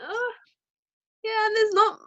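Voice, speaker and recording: A woman's voice: very high-pitched, steady tone, slow, fairly bright tone, dry recording, highs cut off. A young woman from Australia, a powerlifter guest, who talks in a hesitant way with many fillers and laughs easily. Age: young adult. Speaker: guest, powerlifter and university student. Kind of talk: casual interview chat.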